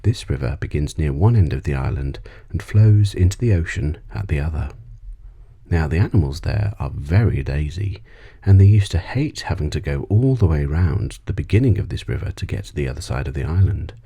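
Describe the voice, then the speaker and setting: A man voicing a polite, well-travelled old female alley cat in a storybook, soft and breathy, telling her tales at leisure. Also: very deep voice, expressive, fairly quick, heavily acted. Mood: patient, explaining. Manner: courteous, leisurely, reminiscing. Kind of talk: ASMR storybook reading.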